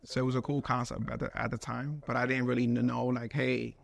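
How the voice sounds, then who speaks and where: A man in his thirties, a studio owner, talking casually as a podcast guest in a relaxed, rambling way with many fillers. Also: mid-range voice, a little fast, vocal fry. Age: 30s. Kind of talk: casual interview chat.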